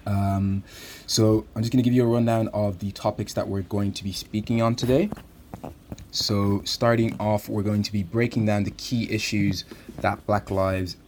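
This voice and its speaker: Guy hosting a podcast, real deep voice, lots of ums, bit of hiss. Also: darkish tone. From Ireland. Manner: measured, with frequent "um" fillers. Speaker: podcast co-host. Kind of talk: podcast introduction and moderation.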